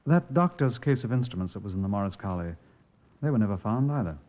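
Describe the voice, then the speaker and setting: An actor playing a keen, dogged junior detective in a 1950s radio crime drama, terse and matter-of-fact. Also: male voice, lowish voice, lively intonation, fast, close to an everyday voice. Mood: keen, determined. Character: keen, dogged male police subordinate detective. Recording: muffled old-time radio recording.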